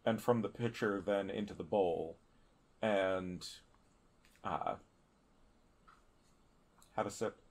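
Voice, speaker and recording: A man narrating a tabletop game as a player, improvising in halting, deliberate phrases. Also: fairly low pitch, a little mellow.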